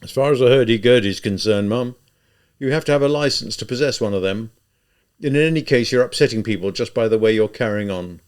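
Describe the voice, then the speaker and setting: A man voicing a stern, officious male street policeman, loud and blunt. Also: medium pitch, fairly quick, clear, resonant tone, natural voice.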